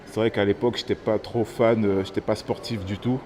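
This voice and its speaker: A man in his thirties from French Guiana, telling his own story haltingly, with many fillers and restarts. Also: on the low side, flat delivery, darkish tone, slight background noise. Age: 30s. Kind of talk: personal testimony to camera. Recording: music playing under the voice.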